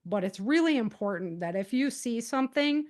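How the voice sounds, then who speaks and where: A woman's voice: on the high side, expressive, normal speed, darkish tone. A middle-aged American woman giving conversational interview answers in an earnest, emphatic and informal way. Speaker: podcast guest, author and self-defense teacher.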